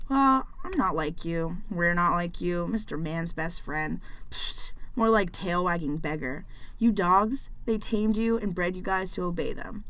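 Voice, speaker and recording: A woman voicing an aloof, sarcastic pet cat in an animated dialogue, with a dry, dismissive way of talking. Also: fairly low pitch, measured pace. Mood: scornful, proud.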